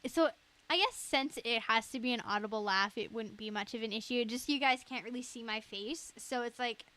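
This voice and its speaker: Young woman streamer, kinda high voice, rambly giggly casual chat. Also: normal speed, bright, forceful tone. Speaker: live streamer.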